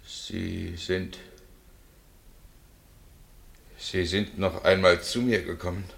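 Desperate condemned prisoner, forceful voice. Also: male voice, sits low, flat delivery, unhurried, creaky, exaggerated voice. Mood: fearful, subdued. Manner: impassioned, pleading, theatrical. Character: desperate condemned prisoner protesting his innocence. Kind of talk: 1960 German radio drama acting.

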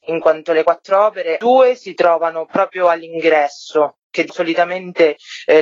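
A woman's voice: lowish voice, dry recording. A woman explaining an art project as an interview guest and co-director. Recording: dull, lo-fi remote connection.

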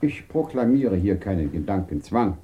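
A dignified man of science and conscience in a radio drama. His voice is very deep and dark, and he speaks in grave, formal, measured phrases with moral earnestness. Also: sing-song, dull audio. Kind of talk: German radio play acting.

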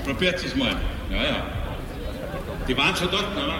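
A man's voice: medium pitch, very animated, moderate pace. A man with a Bavarian accent making rambling, colloquial, self-correcting live announcements as an outdoor event host. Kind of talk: improvised live stage announcing. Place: outdoors in a cold city space. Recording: echoey outdoor PA, distant, noisy.

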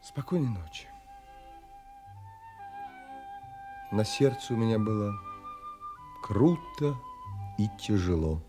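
A man's voice: lowish voice, very animated, a little slow, music in the background. An actor playing an earnest, troubled adventurer who tells his own story in theatrical, emotionally involved audio drama narration. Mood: troubled, subdued. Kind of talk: dramatic first-person literary narration.

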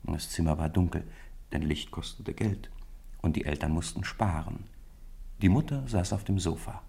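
A man narrating a 1950s radio play in a calm, measured storybook manner. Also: deep voice, gravelly, slightly rough. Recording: 1950s radio studio recording.

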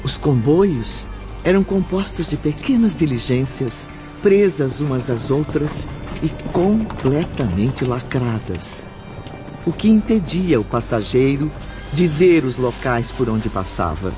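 A man giving measured, solemn scripted narration for a radio drama. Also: medium pitch, lively intonation, dark tone, dull audio, faint music behind.

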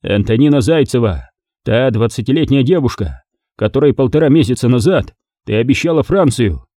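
A cold-blooded gangster from a crime audiobook, acted out by a man. He taunts and domineers with sardonic menace, his mellow voice moving freely up and down. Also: mid-range voice, expressive, normal speed, no room sound, studio quality, lightly acted. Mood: angry, threatening. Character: menacing male thug, mocking, cold-blooded interrogator.

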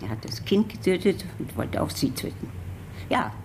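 A woman's voice: on the low side, big pitch swings, normal speed, creaky, darkish tone, slight background noise. An actress playing a grave, hesitant woman informant revealing a tragedy in a radio drama. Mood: grave, hushed.

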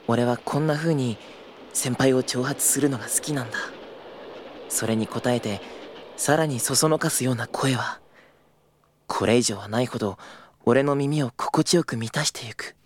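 An actor playing an earnest, easily flustered young junior travel agent in a Japanese audio drama, polite and prone to stammering. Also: male voice, fairly high pitch, slight background noise, lightly acted. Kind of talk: Japanese audio drama acting with intimate narration.

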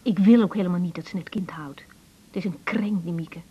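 A woman's voice: fairly low pitch, brisk, dark tone, slightly rough. An actress playing an earnest, wounded young female doctor in a radio drama, emotionally open and introspective. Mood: troubled, confiding. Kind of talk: naturalistic radio drama acting, diary-like monologues. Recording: dull radio recording with music.

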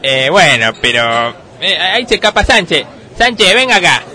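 A man's voice: high-pitched, very animated, moderate pace, sharp tone, exaggerated voice. A man playing a bossy football coach in a comedic radio sketch, ordering his players around.

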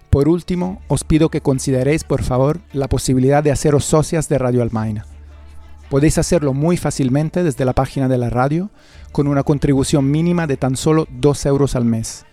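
Guy hosting a radio show, mellow medium voice, scripted intro, bit of music behind. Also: mid-range voice. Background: faint music.

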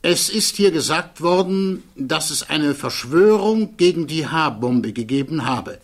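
Husky, courteous male lawyer. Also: a little high, expressive, unhurried. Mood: probing. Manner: courteous, formal, methodical questioning. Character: probing male defense lawyer at a hearing. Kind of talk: radio play dialogue.

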